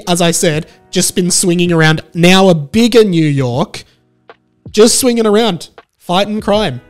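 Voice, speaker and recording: A man in his thirties with a fairly high voice talks casually and emphatically to camera. He is close on a handheld mic in a room at home, with music playing behind him. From Australia. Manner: animated, informal, emphatic. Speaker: video game vlogger. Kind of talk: casual enthusiastic talk to camera. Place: small room at home, indoors. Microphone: handheld microphone close to the mouth. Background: background music.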